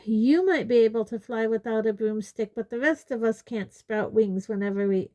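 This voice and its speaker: A woman's voice: medium pitch, fairly even intonation, measured pace, dark tone, highs cut off, lightly acted. A woman voicing a blunt, sceptical, exasperated teenage boy wizard in a storybook reading. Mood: exasperated, angry. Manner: exasperated, demanding.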